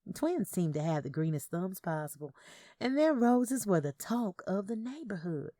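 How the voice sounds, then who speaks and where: A woman's voice: on the low side, dark tone, dry recording, studio quality. A woman reading literary fiction aloud as a first-person narrator.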